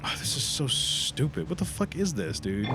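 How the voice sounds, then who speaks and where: A man's voice: fairly high pitch, very animated, normal speed, creaky. A man casually commentating his gameplay with slangy swearing and an impatient, exasperated manner. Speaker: live streamer playing a game. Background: video game music.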